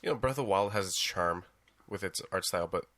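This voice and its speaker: A man co-hosting a gaming podcast, with a baritone voice and a laid-back, teasing manner. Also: quick pace, fairly bright tone, dry recording. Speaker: podcast co-host. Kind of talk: casual podcast chat.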